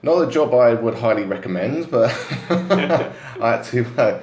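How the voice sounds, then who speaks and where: A man who is a close-up magician, chatting loudly and easily in an interview and laughing often. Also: medium pitch, lively intonation, measured pace. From Hong Kong, to British parents. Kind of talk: casual interview chat. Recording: echoey room.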